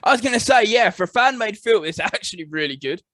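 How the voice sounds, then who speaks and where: A man's voice: high voice, animated, fast talker, pushed and bright, no echo. A man co-hosting a podcast in casual chat, stating blunt, emphatic opinions. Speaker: podcast co-host.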